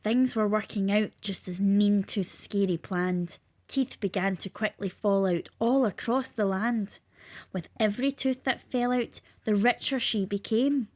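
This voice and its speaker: A woman's voice: medium pitch, fairly even intonation, unhurried, darkish tone, no room sound. A woman with a lilting, sing-song delivery, reading a rhyming children's story aloud.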